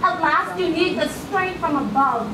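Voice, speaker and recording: A woman's voice: extremely high pitch, unhurried. A woman reciting rhymed spoken word poetry in a church, with an emphatic, rhythmic, preaching delivery. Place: church, live before a congregation. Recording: echoey room from far off, noisy.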